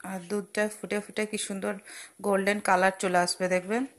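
A woman's voice: mid-range voice, level tone, brisk, a little hiss or hum. Young woman, home cook host, chatty step-by-step talk, sizzling pan behind, phone-recorded kitchen. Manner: chatty, explains each step with fillers and asides. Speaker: cooking channel host. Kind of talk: casual cooking demonstration voice-over. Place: home kitchen at a gas stove. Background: frying and sizzling from the pan.

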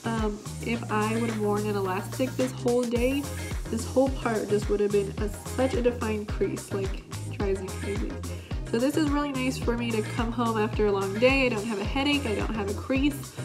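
A young woman giving a casual, informal demonstration in a chatty way, recorded indoors in a living room. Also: on the high side. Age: young adult. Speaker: product presenter. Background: music in the background.

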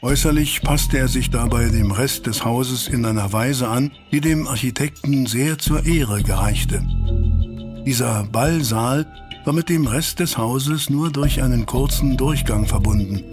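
Male actor as courteous Victorian gentleman chronicler for an audio drama, formal and literary, mid-pitched, over prominent music. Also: unhurried, lightly acted. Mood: measured, reflective. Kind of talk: radio play narration and dialogue.

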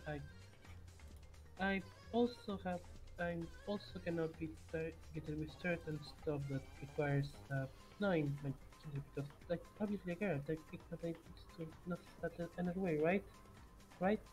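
A woman's voice: fairly low pitch, fairly even intonation, moderate pace, a little mellow, faint music behind, rough recording. Hesitant, rambling woman in voice chat. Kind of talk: improvised role-play over voice chat.

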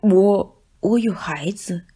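Lady doing a big fat storybook tomcat, husky, stammery and sly, blustery but soft underneath. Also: mid-range voice, slow pace. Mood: sheepish. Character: big fat male cat, blustering, scheming, secretly soft-hearted.

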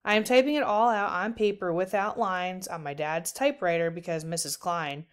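A woman's voice: on the low side, normal speed, clean tone. A woman narrating a children's book aloud as a storyteller.

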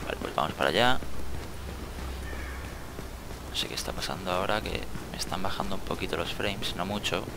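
A man's voice: deep voice, fast talker, creaky, very noisy. A man with an accent from Spain, giving casual gameplay commentary as a channel host.

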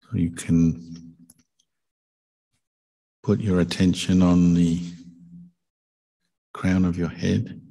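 A man with a very low, husky voice guides a meditation. His delivery is calm and very slow, with long pauses between short phrases. The intonation stays flat and gentle, like a patient teacher giving instructions. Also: low-quality recording. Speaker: Buddhist monk meditation teacher.

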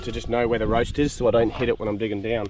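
A man's voice: on the low side, level tone, rapid-fire, music in the background. An Australian man in his thirties, talking outdoors in an easygoing way, explaining things step by step. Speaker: camping companion. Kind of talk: casual outdoor chat. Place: outdoors in the bush.

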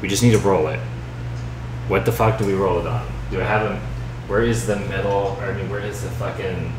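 A man's voice: low voice, fairly even intonation, a little fast. A man talking casually to himself as he hosts a live stream from a home kitchen, rambling and swearing often. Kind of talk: casual live cooking commentary, thinking aloud. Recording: roomy, distant mic with loud noise, clicks.

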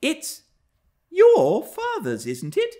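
Imperious, indignant rich little girl performed by a man, shrill-high storybook role, swooping and bossy. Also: huge pitch swings, extremely slow, warm tone, heavily acted. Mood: taunting, curious. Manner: haughty, demanding, exclamatory. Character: spoiled, imperious, indignant young gentry girl.

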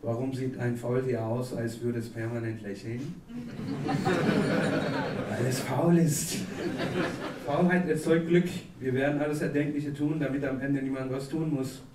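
A man in his thirties, a native Turkish speaker, reading a comic poem with deadpan delivery on a theatre stage at a stand mic. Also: mid-range voice, measured pace. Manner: deadpan satirical delivery, chatty asides with fillers. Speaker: poetry slam performer. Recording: echoey hall from a distance, audible noise. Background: audience laughter.

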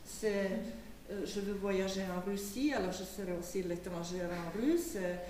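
A woman's voice: mid-range voice, normal speed, a little mellow, a little hiss or hum. Older woman, author on a panel, hesitant french, far off mic in an echoey room. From Slovakia. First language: Slovak. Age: 60s. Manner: hesitant, searching for French words. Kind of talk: literary panel talk. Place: small dim event hall with audience.

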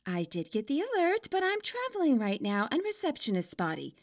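A woman's voice: high-pitched, wildly swooping pitch, a little fast, voice pushed up, full character voice. A woman voicing a terse, awkward, reluctant teenage schoolgirl in a story reading. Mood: evasive.

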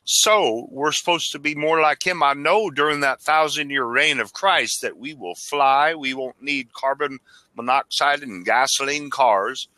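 A man's voice: mid-range voice, unhurried, bright, forceful tone, no echo. A man in his forties from Arizona, calling into a video chat and talking fervently about faith, rambling and tripping over words. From Arizona, United States. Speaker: caller. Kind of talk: casual religious video chat. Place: small dim room indoors. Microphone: headset.

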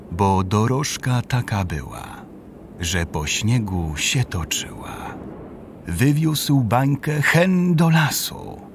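A man narrating a rhymed children's Christmas story in a calm storytelling manner. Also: on the low side, a little hiss or hum.

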